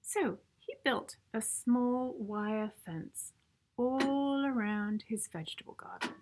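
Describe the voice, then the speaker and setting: A woman's voice: fairly high pitch, lively intonation, slow pace, darkish tone, slight background noise. A woman reading a picture book aloud to young children in a theatrical, playful way, leaning into sound words and stressed adjectives. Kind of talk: expressive storybook read-aloud for young children.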